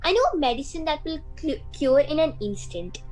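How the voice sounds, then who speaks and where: A woman plays a blunt, bold mother in a student radio play. The voice is high and swings widely, with theatrical emphasis, and faint music plays underneath. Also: animated, fairly quick, darkish tone, low-quality recording. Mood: chatty, blunt.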